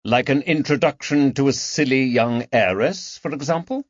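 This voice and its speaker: A man's voice: medium pitch, moderate pace, dry recording, dull audio, pitched above the performer's own voice, natural voice. A man voicing a shrewd, coldly mocking detective in an audiobook, with a dry, taunting manner. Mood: probing, sarcastic. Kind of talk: audiobook character dialogue.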